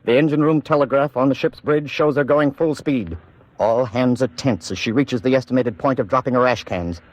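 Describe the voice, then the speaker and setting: A man's voice: mid-range voice, moderate pace, no echo, highs cut off. An American man narrating a war drama in a grave, emphatic 1940s radio style.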